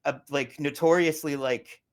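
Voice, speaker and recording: A woman's voice: deep voice, steady tone, normal speed. A woman in her forties hosting a casual interview, rambling and chatty with lots of fillers. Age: 40s. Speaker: host.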